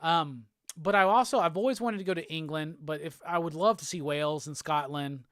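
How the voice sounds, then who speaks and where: A man from Alabama with a Southern accent, hosting a casual live show and answering chat comments in a relaxed, rambling way. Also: on the high side, a little fast, no echo, clean studio sound. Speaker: host.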